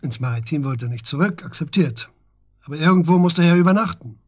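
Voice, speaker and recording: Stern, husky male investigator. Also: a little high, lively intonation, fast, no room sound, clean studio sound. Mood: probing, sceptical. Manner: matter-of-fact, insistent, clipped questioning. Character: stern, methodical male investigator coaching a suspected friend. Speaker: actor in a radio drama. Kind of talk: naturalistic German radio drama acting.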